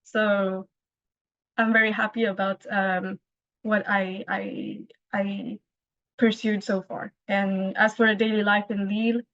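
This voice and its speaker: A woman's voice: medium pitch, flat delivery, takes it slowly, creaky, a bit bright, no echo. A young woman, a master's student, describing her studies in a hesitant casual way with many fillers. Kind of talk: casual webinar talk. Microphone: laptop mic on video call. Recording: dull lo-fi call audio.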